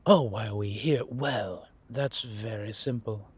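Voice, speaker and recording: A man playing a world-weary, wry and menacing vampire intelligence operative in an audio roleplay, confiding in a measured, drawn-out way. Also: fairly low pitch, breathy edge. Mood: wry, amused. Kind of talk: roleplay audio drama monologue addressed to a listener.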